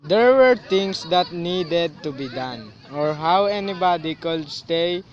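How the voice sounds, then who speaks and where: A man's voice: on the high side, lively intonation, slow, lo-fi sound. A man acting a brooding adult character in a student radio drama.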